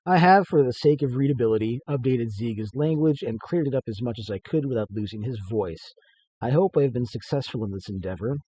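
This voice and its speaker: A man's voice: mid-range voice, warm tone, slightly rough, lo-fi sound. A man giving an informal author's reading of his book, with casual, hesitant asides.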